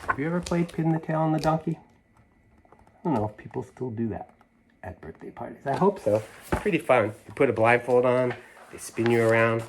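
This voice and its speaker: A man's voice: mid-range voice, lively intonation, brisk, noisy background. A man reading aloud to children in a casual style, adding conversational asides and questions to his listeners. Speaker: children's story reader.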